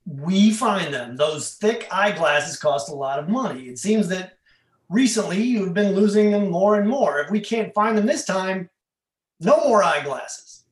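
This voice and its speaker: A man playing a suspicious, chiding husband in a play reading, speaking pointedly and deliberately. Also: high-pitched, expressive. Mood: scolding. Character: nagging, suspicious husband with quiet menace. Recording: echoey room.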